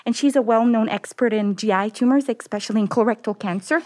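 A woman's voice: on the high side, quick pace, mellow. A woman in her thirties from Italy, speaking with an Italian accent, chatting as an interview guest with lots of "like" and "you know". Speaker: oncologist guest. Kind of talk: casual interview talk.

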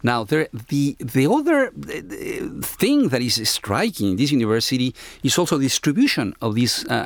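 Halting Ecuadorian-accented man, animated pitch. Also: creaky, dry recording. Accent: Ecuadorian Spanish. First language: Spanish. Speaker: interviewee, university academic. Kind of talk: reflective interview conversation.

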